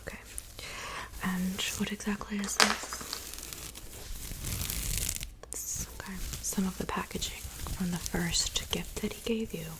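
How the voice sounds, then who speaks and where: A woman playing a soft-spoken witch in an ASMR roleplay, whispering breathily and unhurriedly, as if to a client close by. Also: mid-range voice, steady tone, lightly acted, poor audio. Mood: gentle, attentive. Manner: unhurried, intimate, addressing the listener as a client. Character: soft-spoken witch mixing love potions in her cabin.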